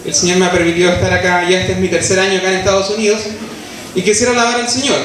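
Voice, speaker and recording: A man's voice: high voice, a bit bright, very echoey, poor audio. A man from Chile with a Chilean accent, preaching a solemn, emphatic sermon and singing a hymn a cappella in a church. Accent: Chilean Spanish. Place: church sanctuary.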